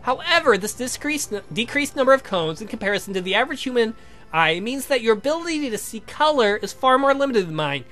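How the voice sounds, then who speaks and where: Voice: medium pitch, animated, fairly quick, fairly bright tone, lightly acted. A performer voicing a patient young male scientist in a visual novel, explaining things in a formal, precise, pedantic way. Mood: clinical.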